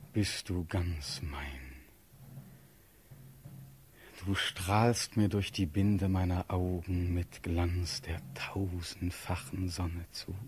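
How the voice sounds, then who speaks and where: Brief: dreamy young prince in stage verse, low-pitched, extremely slow, breathy and rapt. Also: male voice, darkish tone, slight background noise. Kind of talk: classical German verse radio play acting.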